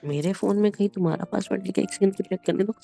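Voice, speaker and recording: A man's voice: sits high, rapid-fire, vocal fry, dark tone, soft background music. A man in the role of a husband, arguing casually at home, protesting and often repeating his words. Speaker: husband in a prank video. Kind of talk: casual domestic argument.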